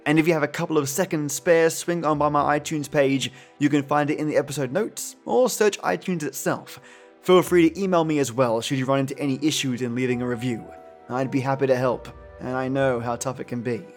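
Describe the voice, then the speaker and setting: A man's voice: mid-range voice, a little fast, soft background music. A man hosting a podcast, speaking to his listeners in a chummy, affectionate way. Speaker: podcast host. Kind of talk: podcast outro, addressed to listeners.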